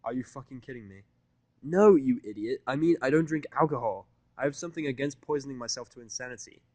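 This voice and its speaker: Sardonic, blunt male story hero, read by a man, mid-range, deadpan bite, dry sound. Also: mid-range voice, quick pace, no room sound, pitched above the performer's own voice, lightly acted. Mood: biting. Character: sardonic, prickly, foul-mouthed human male.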